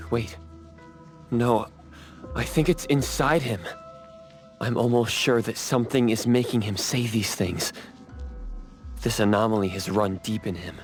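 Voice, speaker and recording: Man voicing a deliberate game teammate, medium pitch, soft music behind. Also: fairly even intonation, lightly acted. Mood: focused. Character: focused male team member sensing anomalies.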